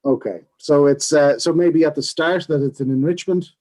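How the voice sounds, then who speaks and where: A man's voice: a little high, moderate pace, warm tone. A man moderating a panel, affirming the speakers and often repeating words.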